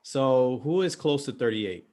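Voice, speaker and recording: Relaxed slangy podcast host man. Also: medium pitch, a little slow. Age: 30s. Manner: relaxed, slangy, asks questions. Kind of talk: casual group chat.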